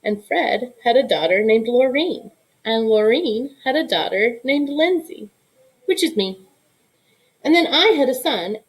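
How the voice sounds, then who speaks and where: A woman reading a children's picture book aloud in an expressive storytelling style. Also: a little high, measured pace, low-quality recording. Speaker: storybook reader.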